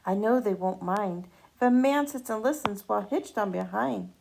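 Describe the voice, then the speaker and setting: A woman reading a rhyming children's picture book aloud with animated, dramatic emphasis and drawn-out words. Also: mid-range voice, creaky, slight background noise, poor audio.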